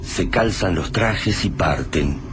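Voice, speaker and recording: Deep, grave man narrating. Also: expressive. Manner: slow, grave, solemn. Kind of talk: scripted dramatic narration. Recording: noisy recording.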